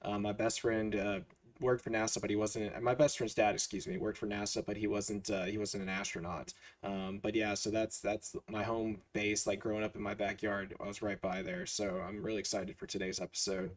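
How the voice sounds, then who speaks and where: A young man from the United States co-hosting a relaxed podcast in a fairly low voice. He is friendly and agreeable, often chiming in with quick agreement. He leans on "you know" and "um" as he talks. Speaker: podcast co-host. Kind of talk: casual chat.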